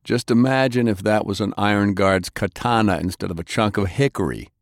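Exasperated male fight instructor, read by a man, gruff and commanding, adventure audiobook. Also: fairly low pitch, a little fast, dry recording, clean studio sound, close to an everyday voice. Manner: sighing, commanding.

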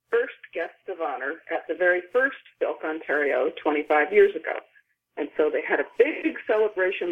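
A woman's voice: low voice, husky. Middle-aged woman on a tinny phone line. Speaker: podcast guest. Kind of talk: conversational recounting.

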